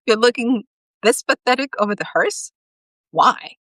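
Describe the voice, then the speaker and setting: A woman's voice: on the high side, animated, unhurried, fairly bright tone, no echo, pitched above the performer's own voice. Storybook cat boss, male, blunt and teasing female reader, dry close recording. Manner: blunt, teasing, matter-of-fact. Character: bored, bossy, mocking male cat boss.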